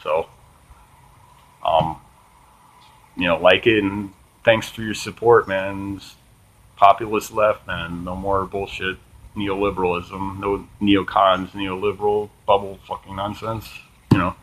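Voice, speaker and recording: A man hosting a small political commentary channel, reading from an article and chatting casually, with a flat monotone delivery and lots of ums and uhs. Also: deep voice, unhurried, long pauses, rough recording. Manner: swears casually, says "man" often.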